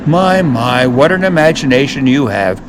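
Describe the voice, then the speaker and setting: A man's voice: mid-range voice, big pitch swings, normal speed, background noise, close to an everyday voice. A man voicing a tall, vain, bossy giant's wife in a children's storybook, haughty and scolding.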